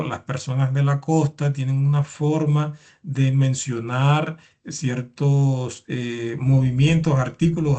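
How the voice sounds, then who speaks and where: Halting male lecturer, slightly high voice. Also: dark tone. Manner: halting, repeats words and fillers while explaining. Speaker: university lecturer. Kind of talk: recorded academic lecture.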